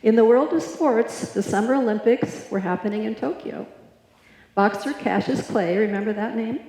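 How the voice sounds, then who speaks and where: A woman's voice: fairly high pitch, dark tone. A woman hosting a live show, reading scripted nostalgic narration theatrically and putting questions to the audience. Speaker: host of a live stage show. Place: live performance in a hall. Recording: echoey hall, music behind.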